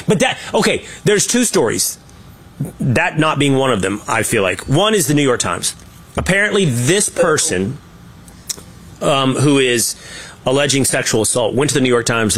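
A man's voice: medium pitch, fairly bright tone. A man hosting a political talk show, giving opinionated, emphatic commentary with frequent restarts.

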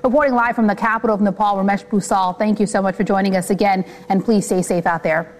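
A woman anchoring a news broadcast, reading the lead and questioning a live guest in a measured, sympathetic way. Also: mid-range voice, steady tone. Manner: measured, scripted delivery with a sympathetic tone toward the guest.

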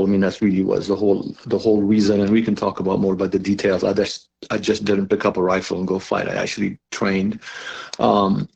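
A man in his sixties from Lebanon, a guest in a conversational radio interview, speaking in a halting way with repeated words. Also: on the low side, vocal fry, darkish tone, husky. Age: 60s. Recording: dull lo-fi remote connection.

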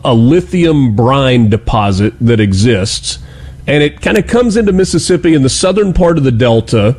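A man's voice: mid-range voice, a little mellow. A man interviewing a guest as host, speaking in a courteous, easygoing and conversational broadcast style.

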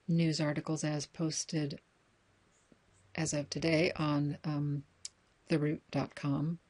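Woman reading news aloud, measured and low. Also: a little mellow. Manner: measured reading with small self-corrections. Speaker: news and book reader.

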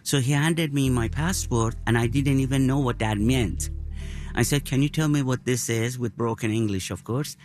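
An older man from Iran, speaking earnestly in an interview with slightly halting phrasing. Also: mid-range voice, a little mellow. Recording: faint music bed.

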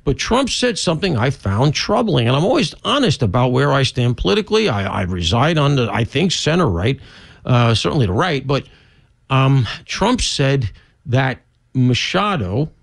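A man's voice: mid-range voice, big pitch swings, normal speed, vocal fry, no echo. A male radio host interviewing a guest on a political talk show, chatty and opinionated.

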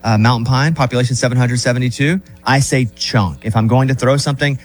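A man from central Arkansas, hosting a morning radio show and leading quick, teasing banter with his co-hosts. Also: medium pitch, fairly even intonation, faint music behind.

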